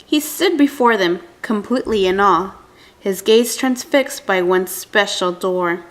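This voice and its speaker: A woman reading a picture book aloud to a young child with expressive, deliberate, dramatic phrasing. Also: medium pitch.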